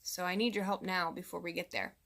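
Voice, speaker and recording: A woman's voice: fairly low pitch, little pitch movement, fairly quick, fairly bright tone. A woman in her thirties, a mom vlogger, talking straight to camera in a candid, exasperated way. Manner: exasperated, candid venting to viewers. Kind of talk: direct-to-camera vlog monologue.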